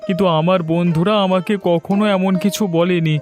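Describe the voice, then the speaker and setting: Man voicing a high-pitched, gentle poor father. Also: fairly even intonation, fairly quick, soft background music, natural voice. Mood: soothing. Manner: soothing, patient. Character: gentle, trusting poor labourer father, middle-aged. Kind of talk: cartoon folk-tale dialogue acting.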